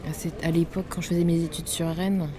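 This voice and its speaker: A young woman chats softly in a slightly low voice. She talks casually and hesitantly, often doubling back on her words. There is clear background chatter around her. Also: little pitch movement, quick pace. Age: 20s. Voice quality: soft, low-volume voice. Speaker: guest in a chat video. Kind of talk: soft-spoken casual chat.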